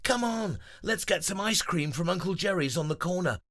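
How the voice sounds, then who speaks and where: A man voicing an eager high otter. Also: lively intonation, moderate pace, fairly bright tone. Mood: cheerful. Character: high excited male otter podcaster. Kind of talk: children's storybook reading.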